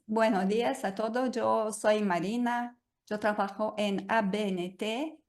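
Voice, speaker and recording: Woman, native Portuguese speaker, slow and hesitant webinar presenter on a video call. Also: mid-range voice, darkish tone. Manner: hesitant, many fillers and restarts. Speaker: guest speaker.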